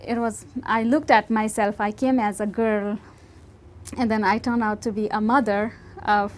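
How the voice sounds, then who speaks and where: A woman from Bhutan telling her own story in an interview, halting and searching for words. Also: mid-range voice, flat delivery. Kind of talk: recounting personal story.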